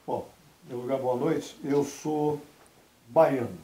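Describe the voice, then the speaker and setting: A man's voice: mid-range voice, roomy sound, low-quality recording. A man from Salvador, Bahia, telling stories about his life as a guest on a TV interview show. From Brazil (Salvador, Bahia). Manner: storytelling, measured, quotes others in lively asides. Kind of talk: relaxed TV interview talk. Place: TV studio.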